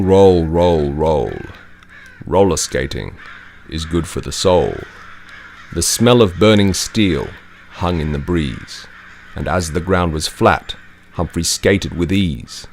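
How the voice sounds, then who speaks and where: A man's voice: deep voice, lively intonation, slow pace, a little hiss or hum. A man narrating a rhyming children's story as the author reading his own book. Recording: loud music behind the voice.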